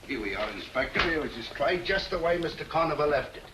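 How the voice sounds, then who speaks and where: An actor playing an eager working-class English constable, colloquial and chatty, in vintage detective film style. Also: male voice, fairly high pitch, lively intonation, quick pace, noisy background, natural voice.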